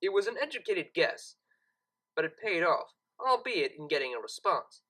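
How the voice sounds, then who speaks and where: A woman's voice: lowish voice, sing-song, moderate pace, fairly bright tone, low-quality recording. A woman reading a story aloud as a narrator, in a dramatic scripted style. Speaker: audiobook narrator.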